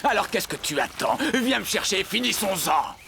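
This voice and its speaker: Sly cartoon crocodile villain, wildly swooping. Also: male voice, high voice, very animated, normal speed, gravelly, heavy background noise, full character voice. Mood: smug, sly. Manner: mock-courteous, theatrical. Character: sly, smug crocodile father and villainous hunter. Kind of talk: French cartoon dub acting. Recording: loud music behind the voice.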